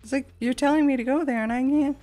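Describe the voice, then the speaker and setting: A woman's voice: high voice, fairly even intonation, fast talker, mellow. A woman giving casual, chatty, rambling let's play commentary as a gaming video host. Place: at home, window open a crack, fan running. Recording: game music behind the voice. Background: game music.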